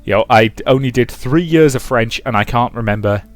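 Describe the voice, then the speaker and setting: A man's voice: mid-range voice, fairly quick. A man reading a visual novel aloud as a let's player, mixing dramatic narration with casual commentary. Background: game music.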